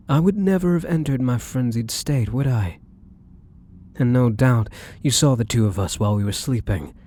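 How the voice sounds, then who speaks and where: A man playing a defiant, protective vampire in an ASMR roleplay, with husky, breathy delivery and theatrical, formal phrasing. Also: medium pitch, a little mellow, exaggerated voice. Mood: pleading. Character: defiant, protective young male vampire, bitter and menacing. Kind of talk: ASMR audio roleplay acting.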